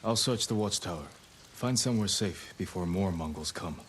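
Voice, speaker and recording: Game cutscene, resolute young samurai, deep grave voice, clipped commands, noisy rainy backdrop. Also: male voice, expressive, moderate pace. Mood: grave, questioning. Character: young stern samurai lord, resolute and protective. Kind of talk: dramatic game cutscene acting. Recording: noisy outdoor rain ambience.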